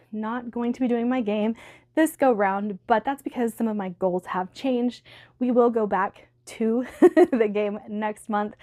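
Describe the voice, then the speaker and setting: A woman book vlogger talking casually to camera, upbeat and chatty, with a slightly hoarse voice from allergies. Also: on the high side, a little mellow. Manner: chatty, upbeat, laughs often.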